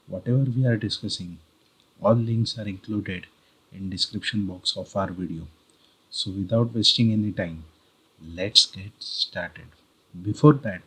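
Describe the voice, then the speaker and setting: A man with an Indian accent narrating an explanatory tutorial as host, deliberately, step by step in short phrases. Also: fairly low pitch, a bit bright, husky, rough recording. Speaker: host of a how-to video channel.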